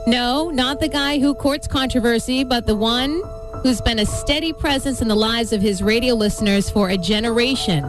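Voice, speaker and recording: A woman's voice: fairly high pitch, normal speed. A woman co-hosting a morning radio show, teasing and giggly in her banter. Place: radio studio. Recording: radio broadcast with music underneath.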